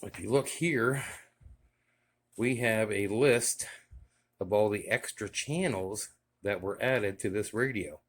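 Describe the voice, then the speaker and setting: A man casually showing off his radio gear in a relaxed, enthusiastic way, with many fillers. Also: lowish voice, takes it slowly, long pauses. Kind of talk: casual show-and-tell vlog.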